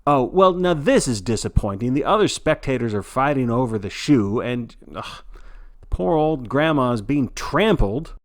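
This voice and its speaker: A man's voice: medium pitch, animated, normal speed, no room sound, clean studio sound. A man narrating a story as a sports broadcaster, in a theatrical play-by-play style with wry, folksy asides.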